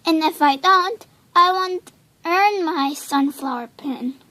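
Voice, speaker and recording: Casting: woman playing young girl princess for storybook, high shifted voice, earnest, pleading, eager. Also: slow pace, sharp tone, exaggerated voice. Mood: earnest, determined. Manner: pleading, exclamatory.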